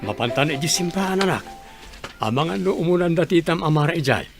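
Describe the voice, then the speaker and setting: Doting dad in a radio play, gravelly, kinda high for a man, music under it. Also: expressive, fast talker. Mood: fond teasing. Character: doting father teasing and hurrying his grown daughter. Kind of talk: radio drama acting.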